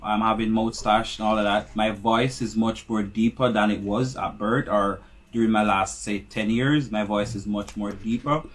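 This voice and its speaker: A man from Jamaica giving a calm, measured personal account in a played interview clip. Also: on the low side.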